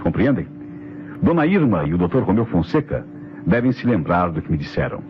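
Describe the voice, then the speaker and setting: Commanding radio detective inspector, animated. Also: male voice, sits low. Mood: assured, expository. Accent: Brazilian. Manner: deliberate, declamatory explaining. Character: authoritative, methodical male police inspector solving a mystery. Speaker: radio drama actor. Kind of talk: old Brazilian radio mystery acting. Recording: dull, noisy vintage radio recording.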